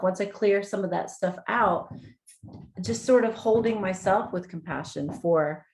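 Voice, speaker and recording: A woman with a notably low voice shares her thoughts in a workshop over a video call. She speaks thoughtfully and openly, with frequent pauses and fillers. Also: darkish tone. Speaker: workshop participant. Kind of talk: reflective sharing.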